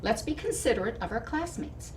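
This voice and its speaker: A woman's voice: fairly high pitch, animated, a little fast, lightly acted. Woman playing a kindly, emphatic storybook mouse schoolmaster. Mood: patiently firm. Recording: distant mic with clear background noise.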